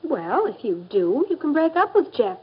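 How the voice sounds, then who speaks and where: High-voiced, assured 1950s American teen girl. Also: sing-song, moderate pace. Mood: confident. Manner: confident, advising. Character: assured teenage girlfriend pushing advice on her friend. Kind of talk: 1950s educational film acting. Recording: dull, muffled 1950s film soundtrack.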